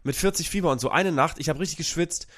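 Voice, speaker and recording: A man co-hosts a radio talk show, his voice a little high for a man. He tells stories with a sarcastic, joking edge. His talk stays casual and quick-witted. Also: a bit bright. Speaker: radio co-host.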